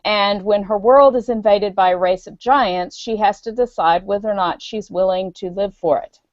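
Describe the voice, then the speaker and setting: A woman's voice: mid-range voice, moderate pace, no room sound. Woman guest speaking on a muffled call. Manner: earnest and explanatory, with fillers. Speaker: guest author. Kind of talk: casual conversation on a podcast. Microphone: video call.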